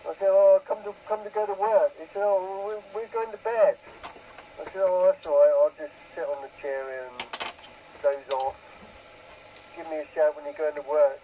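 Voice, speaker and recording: Male CB operator, slightly high voice, casual rambling chat, repeats himself, light noise on the transmission. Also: steady tone, moderate pace, narrow, tinny audio. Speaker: CB radio operator. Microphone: two-way radio microphone. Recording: CB radio transmission with background noise.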